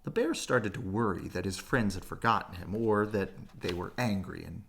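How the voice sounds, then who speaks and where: A man reading a children's story aloud as expressive bedtime narration, with dramatic, drawn-out phrasing. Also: low-pitched, creaky, a little hiss or hum.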